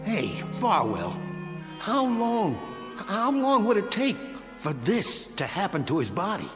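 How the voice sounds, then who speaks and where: Sly, greedy crook, animated voice. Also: male voice, high-pitched, takes it slowly, music in the background, exaggerated voice. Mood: uneasy. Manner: colloquial, terse, tough-guy talk. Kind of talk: dramatic radio play acting.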